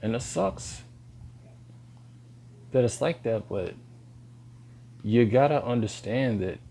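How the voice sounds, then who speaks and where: A man, lecturing bluntly in a halting monologue to camera. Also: expressive, gravelly, dark tone, a little hiss or hum. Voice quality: deep voice. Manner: slow and halting, pauses and restarts. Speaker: vlogger.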